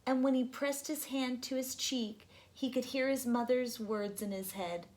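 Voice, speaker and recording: A woman reading a children's storybook aloud in a gentle, deliberate, expressive narration. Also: high voice.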